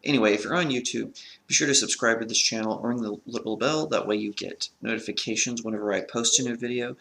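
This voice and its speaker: A man's voice: medium pitch, vocal fry, sharp tone. A man with a crackly voice from a sore throat, talking as an earnest film review host in a casual vlog style.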